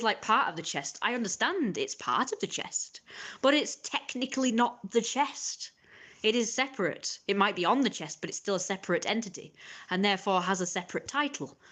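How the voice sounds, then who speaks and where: Woman ranting fast on a live stream. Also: medium pitch, fairly bright tone. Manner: exasperated, fast ranting with mocking quoted asides. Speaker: livestreamer.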